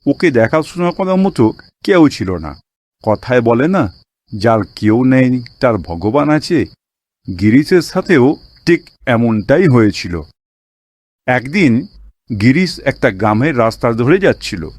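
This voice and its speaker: Expressive male storyteller with swooping pitch. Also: measured pace, pauses often, no echo. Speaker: narrator of a cartoon moral story. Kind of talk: scripted storybook narration.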